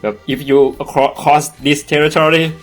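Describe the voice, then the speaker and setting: A man's voice: fairly high pitch, little pitch movement, faint music behind, poor audio. A man with a Thai accent chatting casually, searching for words and restarting often in English. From Thailand. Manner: halting, searches for words in second language. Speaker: podcast co-host. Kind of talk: casual chat.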